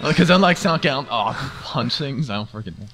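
A man's voice: mid-range voice, lively intonation, fast talker. A man chatting casually while gaming, with a joking, teasing way of talking. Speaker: gamer in a group race video. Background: video game music.

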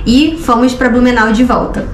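A woman's voice: on the high side, moderate pace. A woman in her twenties with a Rio de Janeiro accent, telling a story casually to the camera as a vlogger. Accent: Rio de Janeiro Brazilian Portuguese. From Rio de Janeiro, Brazil. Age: 20s. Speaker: vlogger, co-host of a couple's travel channel. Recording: roomy echo, music behind.

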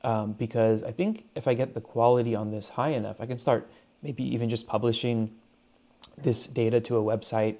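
A man's voice: medium pitch, fairly even intonation, moderate pace, dark tone. A man giving a casual, unscripted tech demo in a conversational, hesitant way, as a hobbyist presenter at a desk at home. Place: small indoor home desk setup.

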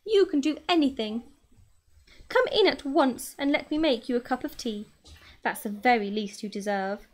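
A woman voicing an excitable, gushing grown woman who adores her pet tortoise, with a shrill, excited manner. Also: normal speed, natural voice. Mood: gushing warmth.

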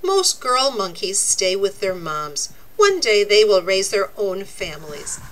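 A woman reading a children's nonfiction book aloud, with deliberate, expressive, teacherly phrasing. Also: medium pitch, low-quality recording. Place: indoors, book laid open before a camera.

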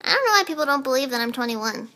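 A woman in her twenties with a very high, childlike voice, joking around in short comedy clips. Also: big pitch swings, racing, bright, forceful tone. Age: 20s. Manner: playful and teasing. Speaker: vlogger.

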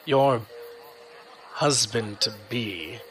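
An actor playing a menacing, smooth-talking crime boss in an audio drama, with an oily, condescending manner. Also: male voice, mid-range voice, extremely slow, a bit bright, slight background noise. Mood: placating.

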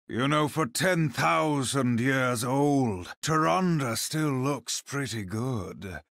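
Brooding male demon hunter, video game character, creaky gravel voice, haughty, dry, theatrical, close dry studio sound. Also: mid-range voice, fairly bright tone, dry recording, heavily acted.

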